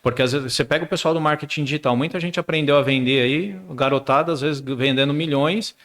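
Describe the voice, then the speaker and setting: A man's voice: fairly high pitch, fast talker, fairly bright tone. A middle-aged man, a guest on a business podcast, explaining in casual chat and often repeating words while he searches for the next one.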